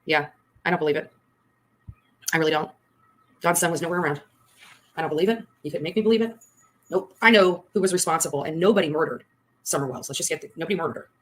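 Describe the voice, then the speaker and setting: Brief: fast-talking woman commentator, forceful and accusing, hammers her points home. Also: sits low. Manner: emphatic, accusatory, repeats points. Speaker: online case commentator. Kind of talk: livestream commentary.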